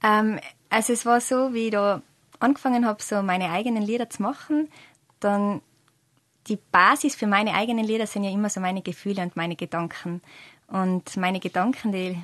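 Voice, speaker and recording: A woman's voice: medium pitch, fairly even intonation, moderate pace, fairly bright tone, no echo. Tyrolean woman in a dry interview recording. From Tyrol, Austria. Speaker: singer-songwriter guest. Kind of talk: casual interview answers.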